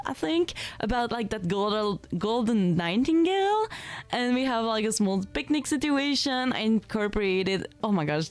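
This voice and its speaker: Casual, chatty woman giving a game tour. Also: medium pitch. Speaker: gaming content creator giving a tour.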